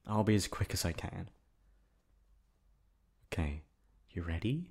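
A man's voice: low voice, lively intonation, unhurried, a little mellow, husky, heavily acted. A man playing a possessive, lonely young prince in an ASMR roleplay, speaking in formal, stilted phrases to the lover he holds captive. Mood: tender, controlling. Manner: formal, stilted diction, swinging from tender to commanding.

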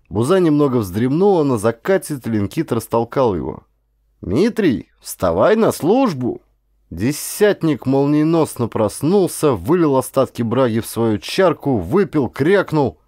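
A male actor narrating a historical adventure audiobook. His storytelling is theatrical and expressive, with widely moving pitch and a mid-range voice. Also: no room sound. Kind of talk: dramatic scripted narration.

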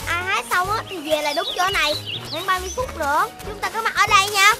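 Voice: extremely high pitch, fast talker. A performer voicing a clever, caring young puppy leader in a children's cartoon, earnest and instructive, with a southern Vietnamese accent. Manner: earnest, instructive, taking charge. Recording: music behind the voice.

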